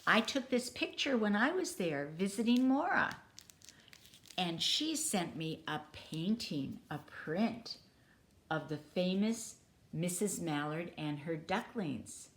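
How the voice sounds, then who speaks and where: Slow, lower-voiced woman reading a storybook. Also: expressive, fairly bright tone. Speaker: storybook reader. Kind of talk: children's picture book read-aloud.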